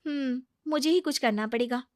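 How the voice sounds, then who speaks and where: A woman's voice: sits high, dry recording, studio quality, close to an everyday voice. A woman voicing a sassy, nagging young daughter-in-law in a comic Hindi family cartoon, arguing with sarcastic comebacks. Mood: complaining. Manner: argumentative, sarcastic, quick comebacks.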